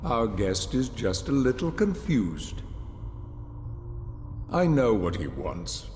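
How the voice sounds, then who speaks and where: An actor playing an ancient, scheming lord in a game cutscene, speaking formally and deliberately with courteous, commanding menace. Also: male voice, medium pitch, very animated, darkish tone. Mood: calm menace. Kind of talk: dramatic video game cutscene acting. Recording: echoey long reverb, music behind.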